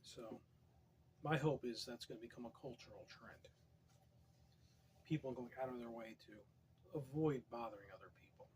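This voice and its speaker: A man with a hoarse, fading voice, reading poetry aloud and drifting into wry, rambling asides, keeping his voice soft and quiet. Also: mid-range voice, normal speed, long pauses, a little hiss or hum. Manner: quiet, soft-spoken, wry deadpan humor. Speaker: poetry reader.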